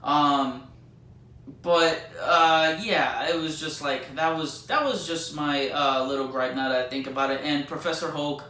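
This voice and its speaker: A man giving a casual movie review as a vlogger, talking like an excited fan with plenty of slang. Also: a little high, a little slow. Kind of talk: casual spoiler-filled movie review chat. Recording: echoey room, distant mic, faint noise.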